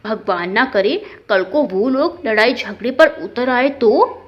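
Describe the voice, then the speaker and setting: A woman's voice: fairly high pitch, lively intonation, takes it slowly, voice pushed down. A woman voicing a meddling, needling sister-in-law who feigns agreement in a family story. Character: meddling, trouble-stirring young sister-in-law. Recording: dull, lo-fi sound.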